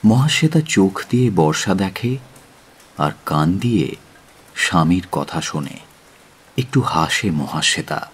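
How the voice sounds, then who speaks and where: Casting: male storyteller, deep and unhurried, giving dramatic, literary narration in measured phrases. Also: very animated, husky.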